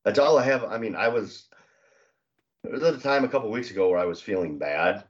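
A man's voice: fairly low pitch, expressive, fairly quick, dull audio. A man co-hosting a podcast with a stuffed-up cold voice, making dry, easygoing quips. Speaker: podcast co-host. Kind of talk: casual chat.

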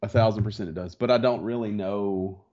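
A man's voice: lowish voice, fairly even intonation, fairly quick, mellow. A man with a slightly congested voice chatting in a laid-back, slangy way as a podcast co-host. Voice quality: congested, stuffy nose. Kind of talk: casual chat. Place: small home office in a converted barn. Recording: dull, lo-fi sound.

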